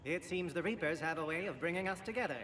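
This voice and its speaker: A man playing a brisk amphibian alien military officer in a video game, speaking in a clipped, formal, dry way. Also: on the high side, a bit bright, lightly acted. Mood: pleased, formal. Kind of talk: video game cutscene acting. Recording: faint background noise in game capture.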